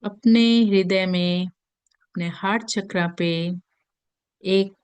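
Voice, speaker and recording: A woman with an Indian accent leads a guided meditation in a gentle, soothing manner with long pauses. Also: medium pitch, steady tone, clear, resonant tone, no room sound. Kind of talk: guided meditation in Hindi mixed with English.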